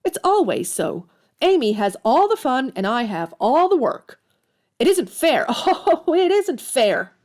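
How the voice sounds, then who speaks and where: A woman's voice: high voice, very animated, a little slow, a little mellow. An actress playing a headstrong, hot-tempered tomboyish young woman in an audio drama, outspoken and emphatic. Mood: anguished, pleading. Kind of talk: audio drama acting of a period novel.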